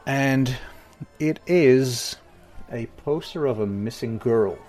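A young man narrating a tabletop horror game as game master, slow and halting with pauses. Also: medium pitch, darkish tone, soft background music.

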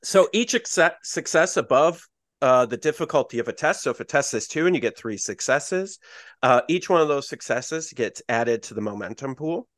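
A man's voice: medium pitch, animated, moderate pace, no room sound, studio quality. A man acting as game master on a podcast, explaining dice rules in a loose, self-correcting way with plenty of fillers.

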